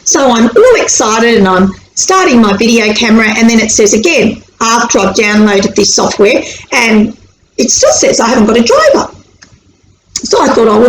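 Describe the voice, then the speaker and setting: Australian woman, animated teaching talk, fairly high voice, echoey room with faint hiss. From born in Sri Lanka, raised in Australia. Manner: chatty, animated, acts out anxious inner voices. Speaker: anxiety educator on video. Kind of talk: casual explanatory talk to camera. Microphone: camera mic.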